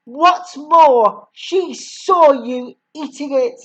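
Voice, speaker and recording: A woman voicing a bullying, brawny headmistress who barks and shouts insults, pitched well above her own reading voice. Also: expressive, slow, heavily acted, rough recording. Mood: furious.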